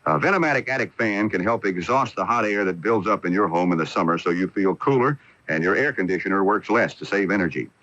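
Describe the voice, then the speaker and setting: A man's voice: low-pitched, fast, a bit bright, no echo. A man reading a scripted radio commercial as a spokesman. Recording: muffled AM radio broadcast recording.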